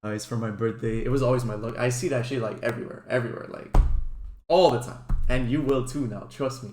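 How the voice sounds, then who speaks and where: A man's voice: mid-range voice, fast talker, darkish tone. A man whose first language is French gives casual gameplay guide commentary as a streamer, chatting informally. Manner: informal, chatty, occasional swearing. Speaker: gaming streamer. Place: indoors at a computer. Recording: roomy echo, faint background noise.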